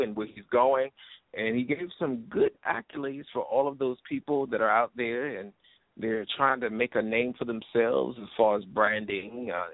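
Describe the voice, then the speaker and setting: A man's voice: mid-range voice, no echo. A man hosting an interview show in a casual, effusive, informal way, often repeating his phrases. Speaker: radio show host. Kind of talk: casual interview and sign-off shout-outs. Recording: muffled lo-fi internet radio call.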